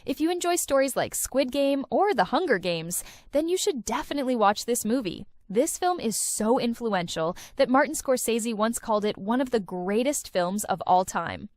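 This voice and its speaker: A woman's voice: fairly high pitch, expressive, normal speed, few pauses, a little mellow, no echo, clean studio sound. A woman narrating a countdown of film and series recommendations in an enthusiastic, recommending tone. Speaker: video host. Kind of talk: scripted list-video narration.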